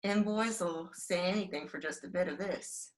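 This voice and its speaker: A woman's voice: on the low side, normal speed, lightly acted. An American actress playing a bloodthirsty young outlaw woman in a Western radio drama, taunting and crude in folksy frontier phrasing. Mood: gloating, playful.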